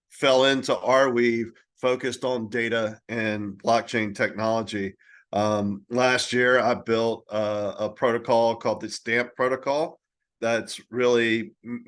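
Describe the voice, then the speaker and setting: A man speaking as a panelist on a video call, halting, with many "um" pauses. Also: medium pitch, fairly bright tone. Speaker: panelist, protocol builder. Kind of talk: casual panel talk.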